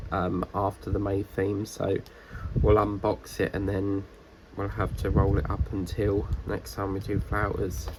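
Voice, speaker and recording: Croaky low-voiced man chatting. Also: background noise. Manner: rambling, chatty, many fillers and restarts. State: croaky, congested with a cold. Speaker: diamond painting vlogger. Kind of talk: casual chat while crafting.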